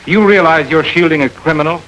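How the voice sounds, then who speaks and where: An actor playing a calm, hard-nosed male crime investigator who presses suspects steadily, in 1940s radio acting style. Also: on the high side, fairly quick, slight background noise, natural voice, poor audio. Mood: cool. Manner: steady, coolly persuasive.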